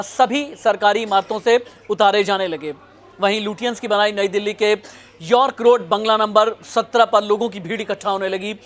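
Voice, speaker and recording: A man's voice: sits high, a bit bright. A man presenting history as a news host, narrating it as a dramatic story in a suspenseful, emphatic manner.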